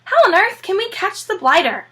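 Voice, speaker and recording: A woman's voice: very high-pitched, big pitch swings, normal speed, fairly bright tone, pitched above the performer's own voice, exaggerated voice. A woman voicing an enormously fat, angry male farmer in a storybook, blustering and exasperated.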